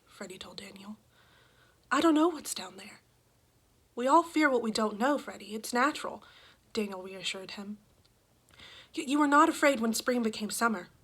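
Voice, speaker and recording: A woman's voice: a little high, a bit bright, breathy edge. A woman reading a children's storybook with dialogue as an amateur narrator. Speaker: amateur reader, choir member.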